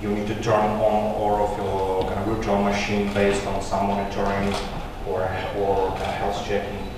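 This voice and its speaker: A man's voice: on the low side, completely flat delivery, normal speed. A man in his thirties, a native Russian speaker, giving a tech conference talk in English with many fillers and restarts. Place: large conference room. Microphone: handheld mic, far camera. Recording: echoey hall recorded from far off, noisy.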